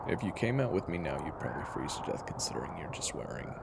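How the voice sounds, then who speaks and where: A man's voice: sits low, big pitch swings, fast talker, vocal fry, whispered, exaggerated voice. A man playing a brooding, grumpy but caring hunter in an audio roleplay, speaking in a wry, exasperated, conversational way. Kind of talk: intimate audio roleplay acting and storytelling.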